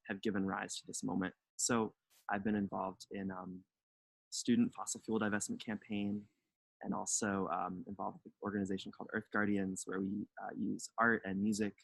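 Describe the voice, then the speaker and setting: A young man from North Carolina, introducing himself as a delegation co-leader in a relaxed, hesitant webinar talk full of fillers. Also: low-pitched, creaky, slightly rough, dry recording, poor audio. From North Carolina, United States.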